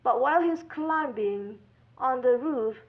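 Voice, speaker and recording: High-pitched woman telling a children's story. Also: lively intonation, unhurried. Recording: dull lo-fi sound.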